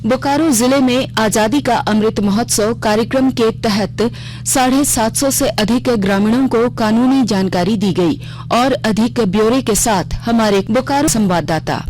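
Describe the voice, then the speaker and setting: A woman's voice: fairly high pitch, a little slow, darkish tone. A woman reading a formal scripted radio news bulletin as the anchor. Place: radio studio. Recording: clearly noisy regional radio broadcast.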